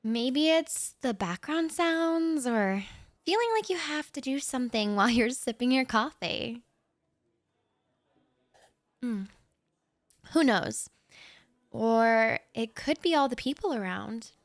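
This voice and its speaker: A woman's voice: on the high side, animated, slow, pauses often, no room sound, clean studio sound. A woman playing a shy, giggly young writer. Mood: reflective. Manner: soft, giggly, a little nervous and rambling. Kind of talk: audio roleplay.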